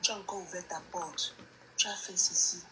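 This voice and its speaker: A woman's voice: fairly low pitch, fairly even intonation, normal speed. Emphatic woman gossiping over background noise. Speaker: co-commentator. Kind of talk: casual gossip chat.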